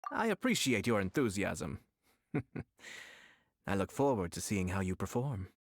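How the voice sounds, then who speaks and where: Calm game nobleman: lowish voice, formal, measured, courteous with a subtle teasing edge. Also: heavily acted. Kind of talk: video game voice acting.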